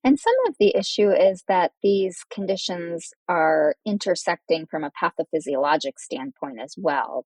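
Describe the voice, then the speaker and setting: Female medical podcast host; low voice, formal and engaged, recorded dry. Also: darkish tone, slightly rough, no room sound, poor audio. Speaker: podcast host, physician interviewer. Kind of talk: professional medical interview.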